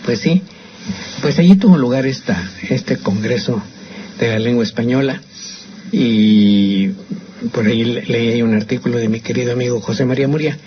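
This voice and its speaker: A man's voice: mid-range voice, normal speed, a little hiss or hum. An older man with a Mexican accent, chatting opinionatedly as a radio host about language and restarting his phrases often. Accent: Mexican Spanish. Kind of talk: casual radio commentary. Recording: slightly muffled radio broadcast.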